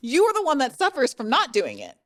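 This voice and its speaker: A woman hosting a podcast interview, articulate and measured, asking long, structured questions. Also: high voice. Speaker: podcast host. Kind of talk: interview conversation.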